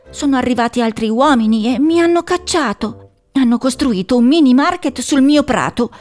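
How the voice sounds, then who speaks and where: A woman voicing a small, frightened male pet hedgehog who talks high and shrill and rises to shouting in a storybook. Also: natural voice.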